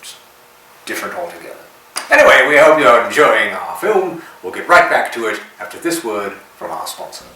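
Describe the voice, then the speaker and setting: A man's voice: mid-range voice, sing-song, normal speed, sharp tone. A man hosting a B-movie show, casually presenting film trivia with a dry, tongue-in-cheek manner. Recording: very echoey, distant from mic, faint noise.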